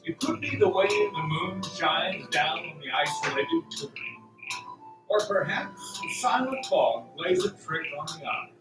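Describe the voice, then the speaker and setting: A man narrating a radio play as a scripted story, in a theatrical, deliberate, suspenseful way. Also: a little high, sing-song. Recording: roomy, distant, music behind. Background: music.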